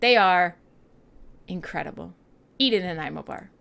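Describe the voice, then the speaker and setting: A woman's voice: on the low side, lively intonation, moderate pace, gravelly, a bit bright. A woman with a Canadian accent hosting a radio show in a casual, irreverent, sweary and playful chatting style. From western Canada. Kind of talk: casual live chat between music tracks.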